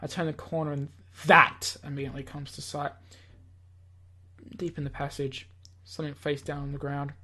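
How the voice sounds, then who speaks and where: A man's voice: a little high, little pitch movement, moderate pace, pushed and bright. A man reading a visual novel aloud in a theatrical, slightly stumbling way, as host of a gaming video. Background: game music.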